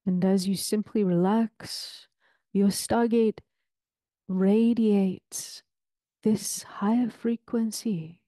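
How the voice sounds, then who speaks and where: Woman, guided meditation, very slow pace, soft dark timbre, ceremonial phrasing, long pauses, dry close sound. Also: mid-range voice, fairly even intonation, dry recording. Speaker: channeler leading a guided meditation.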